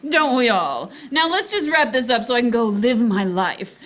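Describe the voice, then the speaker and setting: A woman playing a sultry, sharp-tongued femme fatale in a live film noir radio parody, sardonic and bossy. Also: fairly high pitch, big pitch swings, moderate pace. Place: theater stage before a live audience.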